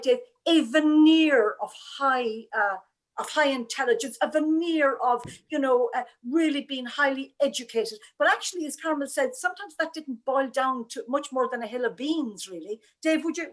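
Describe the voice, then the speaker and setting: A woman's voice: high-pitched, normal speed. A woman moderating a panel discussion, chatty and encouraging, with frequent affirmations.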